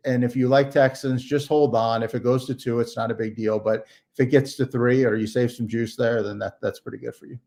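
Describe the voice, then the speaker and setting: A man analyzing sports betting lines, explaining at a measured pace in casual talk. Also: mid-range voice, steady tone, gravelly, a little mellow.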